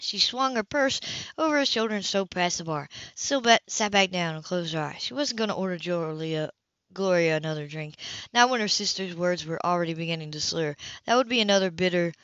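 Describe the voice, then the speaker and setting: A woman's voice: fairly low pitch, expressive, fairly quick, fairly bright tone, no echo. A woman narrating a novel as an amateur audiobook reader, occasionally stumbling over words. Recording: dull lo-fi home recording, dry.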